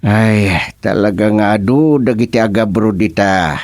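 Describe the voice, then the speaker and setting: A man's voice: lowish voice, a little slow, darkish tone, no room sound, clean studio sound, close to an everyday voice. A man playing a chatty townsman in an Ilocano radio comedy drama, speaking with an Ilocano accent.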